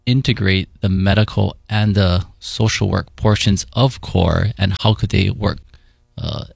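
Guest voice: deep, gravelly man in his forties from Hawaii, easygoing and unhurried, dry studio sound. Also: dry recording. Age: 40s. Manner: relaxed, thoughtful, with many fillers. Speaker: guest, paramedic supervisor. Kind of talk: casual interview in studio. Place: radio studio.

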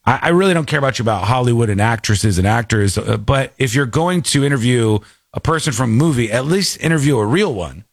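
A man's voice: mid-range voice, expressive, quick pace, few pauses, dry recording, clean studio sound. A man hosting a live stream, making sarcastic, mocking commentary on video clips. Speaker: streamer host reacting to clips.

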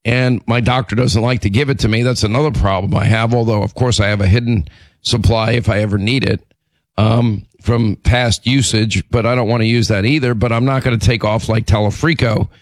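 Hoarse, raspy radio host, dry studio sound. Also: male voice, on the low side, fairly even intonation, brisk, dry recording. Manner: emphatic, repetitive, combative. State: hoarse with laryngitis, clearing throat. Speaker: radio talk show host. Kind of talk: talk radio chat. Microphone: studio microphone.

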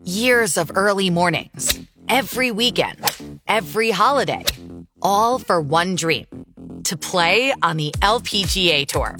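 A woman's voice: fairly low pitch, animated, a little slow, over music. A woman narrating a radio ad in an earnest, storytelling way. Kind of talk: scripted narration ad.

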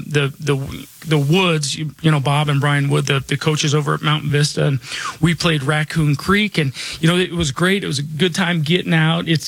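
A man in his forties hosting a radio show, talking casually in a raspy, slightly hoarse voice, rambling with many restarts and fillers. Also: on the high side, fairly bright tone, no echo, rough recording. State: slightly hoarse from chest trouble. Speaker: radio show host and financial advisor.

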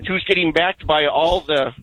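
A man's voice: fairly high pitch, normal speed, fairly bright tone, no room sound, rough recording. Earnest rambling radio caller, man. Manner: earnest, rambling, with many ums. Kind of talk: call-in talk radio.

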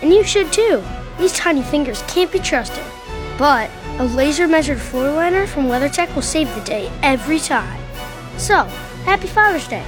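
A woman's voice: sits high, animated, unhurried, music in the background. A woman voicing an earnest, messy little kid giving a mock-serious speech in a radio ad. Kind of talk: scripted radio ad.

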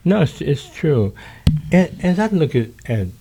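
A man speaking as a guest on a radio talk show, reflective and relaxed. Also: fairly high pitch, measured pace, creaky, husky. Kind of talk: casual interview.

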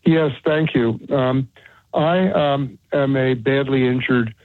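A man in his seventies calling in to a radio show, speaking politely and hesitantly with pauses. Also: mid-range voice, dry recording. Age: 70s. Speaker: caller. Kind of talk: phone-in call. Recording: tinny phone line.

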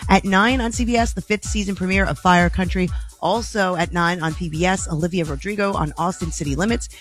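A woman co-host reads the weekend TV and streaming listings on a morning radio show. Her delivery is brisk and announcer-like, with faint music running underneath. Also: fairly low pitch.

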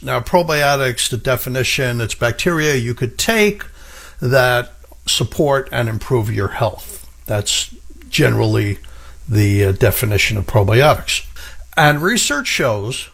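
A middle-aged man hosts a health radio show in a husky, slightly raspy voice. He speaks eagerly and piles up repeated phrases to drive his points home. His pitch sits in an ordinary middle range. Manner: enthusiastic, emphatic, repeats for stress. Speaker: radio host and pharmacist. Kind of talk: radio health talk monologue.

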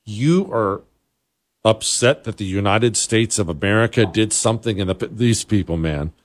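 A man's voice: on the low side, normal speed. Scornful male political show host. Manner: scornful, sarcastic. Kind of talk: opinionated monologue commentary over played clips.

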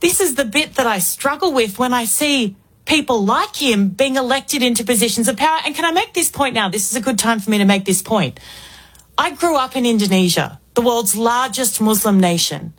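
A woman's voice: on the high side, lively intonation, moderate pace. An Australian woman in her forties, filling in as a talk radio host with an emphatic, rhetorical political monologue. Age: 40s.

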